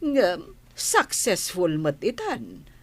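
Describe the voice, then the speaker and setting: A woman plays a frank, chatty confidante in a radio drama. She speaks slowly and very expressively, with a melody that swings up and down. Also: on the low side, wildly swooping pitch, heavily acted. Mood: sympathetic. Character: frank, chatty female relative or friend.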